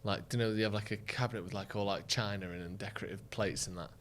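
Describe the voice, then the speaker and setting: A man's voice: lowish voice, steady tone, fast talker, fairly bright tone, slight background noise. A man co-hosting a podcast, teasing his friends and rambling through jokey asides in casual banter. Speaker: podcast co-host.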